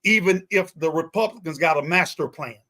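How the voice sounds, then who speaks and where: High-pitched, emphatic preaching man. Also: fairly quick, pushed and bright. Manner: emphatic, uses repetition. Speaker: guest pastor and teacher. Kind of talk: impassioned talk-show discussion with preaching cadence.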